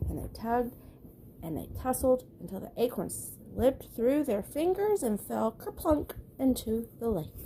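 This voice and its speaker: A woman's voice: mid-range voice, dark tone, slight background noise, low-quality recording. Woman reading a children's bedtime story. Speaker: storybook reader.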